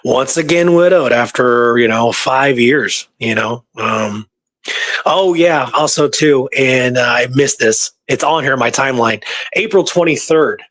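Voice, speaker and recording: A man casually retelling history research from his notes, halting and informal as he reads out dates. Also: medium pitch, a bit bright, no room sound.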